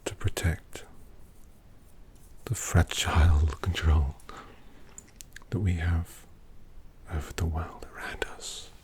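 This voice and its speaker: Slow, whispering vampire painter, acted out for ASMR. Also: sits low, little pitch movement, darkish tone. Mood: hushed, confiding. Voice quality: whispery, breathy. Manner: slow, deliberate, indulgent, menacingly tender. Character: suave, possessive vampire painter doting on a captive. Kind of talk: ASMR roleplay. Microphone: close-up microphone.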